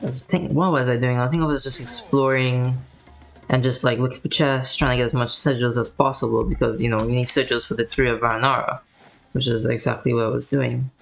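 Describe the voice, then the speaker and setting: Creaky-voiced man commentating on a game. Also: medium pitch, steady tone, a little fast, a bit bright, faint music behind, low-quality recording. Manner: rambling, thinking aloud. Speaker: game streamer. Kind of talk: casual gameplay commentary.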